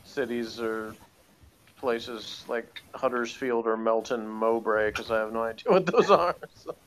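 A man's voice: mid-range voice, unhurried. Blunt American man, noisy recording. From United States. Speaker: podcast co-host. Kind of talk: casual review chat. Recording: clear background noise.

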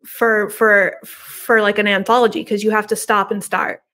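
A woman's voice: medium pitch, little pitch movement, moderate pace, bright, forceful tone. A middle-aged woman chatting casually and informally as a podcast guest on a video call from her home. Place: living room at home.

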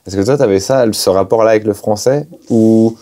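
A man in his thirties hosting a casual music interview in a small studio, asking questions playfully. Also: on the low side. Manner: lively, playful, quick questions. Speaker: host.

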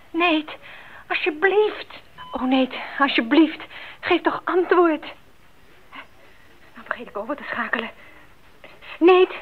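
A woman's voice: extremely high pitch, slight background noise. An actress playing a caring, capable woman who runs a mission post radio in a radio drama. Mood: anxious pleading. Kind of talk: 1980s Dutch radio drama acting. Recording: narrow tinny radio sound.

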